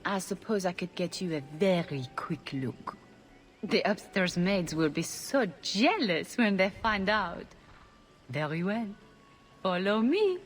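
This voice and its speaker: A woman's voice: medium pitch, sing-song, slow pace. A woman playing a put-upon young servant in a fantasy game, weary, dry and unenthusiastic. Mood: glum, hesitant. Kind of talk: fantasy game dialogue acting.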